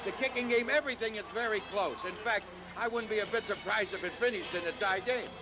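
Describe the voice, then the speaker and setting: A man's voice: high-pitched, quick pace, poor audio. Confident man making football predictions. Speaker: studio analyst. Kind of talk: studio sports analysis.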